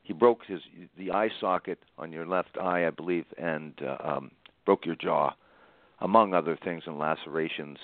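A man with a low voice hosts a conversational interview over a narrow, phone-like connection with little room sound. He recaps and prompts deliberately, repeating phrases to stress them. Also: no echo. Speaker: interviewer, host.

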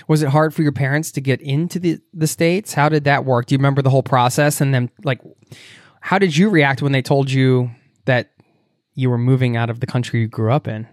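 A man's voice: mid-range voice, steady tone, brisk, no room sound, clean studio sound. Easygoing male podcast host. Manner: easygoing, asks several questions in a row. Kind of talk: interview questions.

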